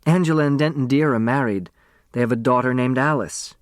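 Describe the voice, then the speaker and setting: A man voicing a loyal woman lawyer in an audiobook, matter-of-fact and gently reassuring. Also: mid-range voice, a little fast, no echo, studio quality, lightly acted.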